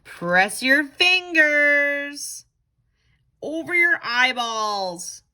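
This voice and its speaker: Woman playing a high-pitched, bossy storybook ghost. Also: very animated, extremely slow, sharp tone, exaggerated voice. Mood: bossy, urgent. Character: ghost friend bossily prescribing hiccup cures. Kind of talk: picture book read-aloud.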